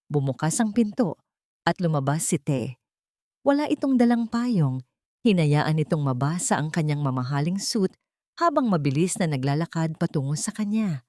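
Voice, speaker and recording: Low-voiced Filipino woman narrating drama, dry sound. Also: dark tone, no room sound. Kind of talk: dramatic scripted story narration.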